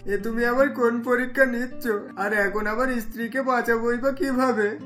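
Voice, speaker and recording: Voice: mid-range voice, fairly even intonation, moderate pace, fairly bright tone, soft background music, natural voice. A voice actor playing a poor, desperate middle-aged husband who sells scrap, speaking earnestly and anxiously.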